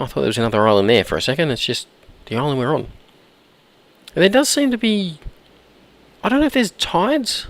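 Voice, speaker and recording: Hoarse, lively gaming man. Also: fairly high pitch. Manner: chatty, thinking aloud, clears throat often. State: hoarse from a cold. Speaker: gameplay commentator. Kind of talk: casual let's-play commentary.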